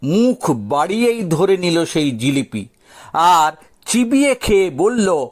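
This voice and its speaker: A man narrating a scripted audio story dramatically, with theatrical, suspenseful pauses. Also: high voice, very animated.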